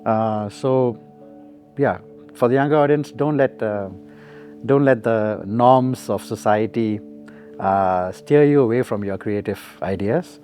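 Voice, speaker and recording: A musician in his forties from Singapore, speaking. He gives relaxed, reflective interview answers and laughs often. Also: on the low side, slow pace, soft background music. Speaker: musician guest.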